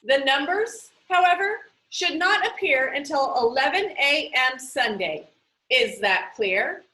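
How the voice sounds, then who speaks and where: A woman's voice: sits high, lively intonation, slow, sharp tone, echoey room, exaggerated voice, low-quality recording. A woman reading aloud as an exacting, commanding woman librarian who over-enunciates in a businesslike way. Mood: stern, commanding.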